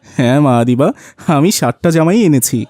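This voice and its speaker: A man's voice: mid-range voice, a little fast, dark tone, no room sound, close to an everyday voice. A man with a Bangladeshi accent voicing a rich, generous, boastful businessman father in a cartoon. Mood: proud, affectionate. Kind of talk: cartoon voice acting.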